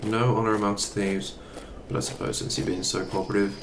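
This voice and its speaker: A man acting a haughty, menacing Imperial officer in an audio drama, condescending and measured, with noisy ambience around him. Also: fairly low pitch, flat delivery, roomy sound, natural voice. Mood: smug, threatening.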